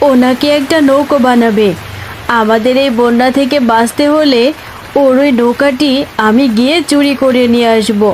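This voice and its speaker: A woman's voice: high voice, darkish tone, slight background noise, lightly acted, rough recording. A woman voicing a scheming, dismissive mother tigress in a children's fairy-tale cartoon, brusque and self-justifying.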